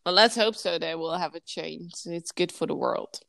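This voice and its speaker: A woman's voice: lowish voice, moderate pace, vocal fry, fairly bright tone. A woman from the Netherlands with a Dutch accent, chatting casually in English, her second language, in an emphatic storytelling manner. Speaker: podcast host and childcare worker. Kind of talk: casual chat about a TV show.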